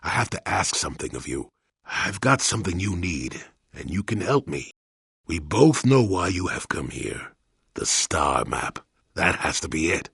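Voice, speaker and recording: A man playing a crotchety, wry old hermit Jedi in a video game, grumbling in a folksy, sardonic way with a gravelly, husky voice. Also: low voice, very animated, measured pace, fairly bright tone, no room sound, clean studio sound, full character voice. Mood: stubborn, matter-of-fact. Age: older. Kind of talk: video game character acting.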